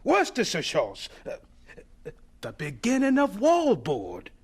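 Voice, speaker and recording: Gentle old scientist for radio drama: breathy, unhurried, halting, reverent and excitable. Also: male voice, sits high, heavily acted. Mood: excited, reverent. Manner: halting, stammering, slow and reverent. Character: gentle, devout older male professor, excited inventor.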